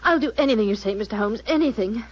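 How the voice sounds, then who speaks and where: An actress playing a polite, anxious young gentlewoman in a 1940s radio drama, earnest and compliant. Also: on the high side, lively intonation, fast talker. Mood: trusting, anxious. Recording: dull old 1940s radio recording.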